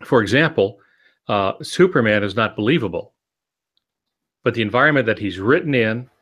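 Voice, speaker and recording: Older man, lowish voice, explaining. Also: animated, moderate pace, fairly bright tone, breathy edge. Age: 60s. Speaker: podcast co-host. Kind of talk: explanatory podcast talk on writing. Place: home office, indoors, on a video call.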